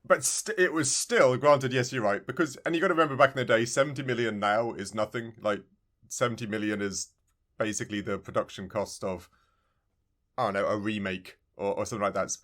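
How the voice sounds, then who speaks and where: A man's voice: fairly low pitch, steady tone, brisk, a bit bright. A man co-hosting a podcast, chatting casually about retro video games in a rambling, emphatically repetitive way. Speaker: podcast co-host.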